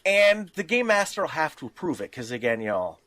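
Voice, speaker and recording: A man's voice: a little high, big pitch swings, fairly quick, a bit bright, no room sound. A man explaining tabletop game rules in casual conversation, reasoning his way through examples.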